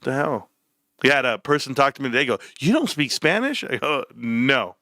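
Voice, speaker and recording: A Southern Californian man with a bright, forward voice and a gravelly edge hosts a casual live stream. He talks in slangy, teasing banter, joking as he goes. The sound is close and dry. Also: mid-range voice, very animated, moderate pace, no echo. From California, United States.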